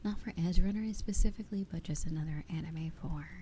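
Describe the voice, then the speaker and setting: A young adult woman whispering close to the mic as an ASMR streamer, rambling and giggling as she chats with her live viewers, her voice a little raspy. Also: on the low side, quick pace, background noise. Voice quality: slightly raspy. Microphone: close sensitive ASMR microphone. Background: rain sounds.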